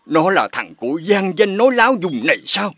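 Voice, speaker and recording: A man's voice: high voice, sing-song, fairly quick, a bit bright, exaggerated voice. A man voicing a gullible, grumpy blind fortune-teller in a fairy-tale cartoon, querulous and easily puzzled. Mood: indignant. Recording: music behind the voice.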